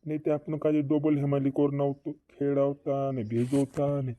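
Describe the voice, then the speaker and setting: A man's voice: mid-range voice, little pitch movement, brisk, mellow, slight background noise, lightly acted. A man voicing a fretting cartoon villager with repetitive, doubled words, in a dull lo-fi recording. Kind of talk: regional comedy cartoon dialogue. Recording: dull lo-fi dry audio.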